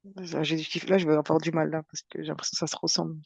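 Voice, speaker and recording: A woman taking part as a student in an online lesson, speaking hesitantly and quietly, often trailing off. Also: low voice, level tone, rapid-fire, creaky, husky, no echo. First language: French. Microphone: video call.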